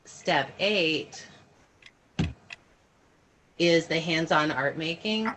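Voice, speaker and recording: A woman's voice: sits low, extremely slow, a bit bright. A woman leading an informal online training for teachers, explaining step by step and checking in with her listeners over a video call. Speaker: workshop leader training teachers. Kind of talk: informal online training presentation with questions and answers. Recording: noisy video-call audio.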